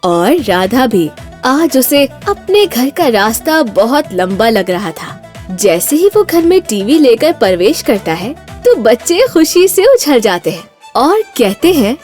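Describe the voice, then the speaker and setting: A woman narrating an animated horror story in a storytelling style. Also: a little high, takes it slowly, faint music behind.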